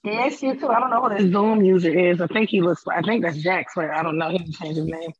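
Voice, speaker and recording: A woman guest chatting on a video call, with a loud voice that carries, speaking through headphones. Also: lowish voice, quick pace, muffled recording, crowd noise. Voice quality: loud, carrying voice. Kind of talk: casual chat on a video call. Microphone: headphones with mic on a video call.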